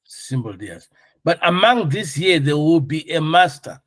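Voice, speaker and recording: A male instructor with a slightly high voice, recorded fairly dry. He lectures in an informal, explanatory way and keeps putting questions to his students to check they follow. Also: big pitch swings, normal speed, a bit bright, no room sound.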